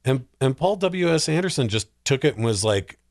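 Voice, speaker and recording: A man talking casually and emphatically as a podcast panelist, giving animated opinions on movies and games. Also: mid-range voice, moderate pace, no room sound, clean studio sound. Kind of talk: casual film and game discussion.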